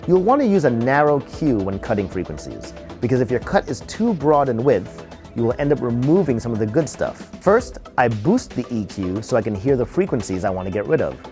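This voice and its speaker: Man presenting a tutorial with lively intonation. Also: medium pitch, soft background music. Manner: explanatory, measured step-by-step delivery. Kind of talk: instructional narration.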